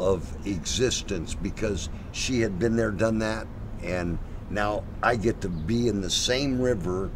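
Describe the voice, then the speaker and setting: A man with a lowish voice answers interview questions very slowly. His casual talk is halting, with pauses mid-phrase, recorded on location over clear vehicle noise. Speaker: interview guest. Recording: noisy location sound with vehicle rumble.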